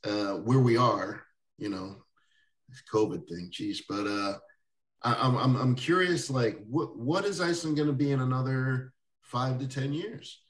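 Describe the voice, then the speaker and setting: Male interview host, easygoing and rambling, mid pitch, recorded off-mic in a roomy space. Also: a little slow. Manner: rambling, with many restarts and soft laughs. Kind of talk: casual interview chat. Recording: roomy echo, distant mic.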